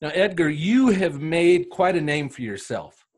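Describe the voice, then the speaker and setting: A man's voice: a little high, animated, a little slow, a little mellow. Brief: male interview host, friendly and encouraging. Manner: upbeat, encouraging questioner. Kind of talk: casual interview.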